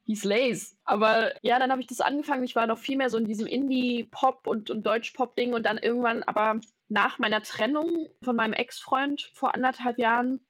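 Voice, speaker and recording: A woman's voice: on the high side, steady tone, fairly quick, no room sound, rough recording. Young female musician guest, casual and slangy, close dry recording. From Germany. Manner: chatty, loose, lots of fillers and slang. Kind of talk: casual interview chat.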